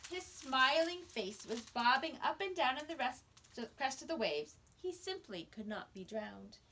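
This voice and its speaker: Husky teacher reading to small children. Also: female voice, on the high side, low-quality recording. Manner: expressive, explains words for children. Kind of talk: storybook read-aloud for young children.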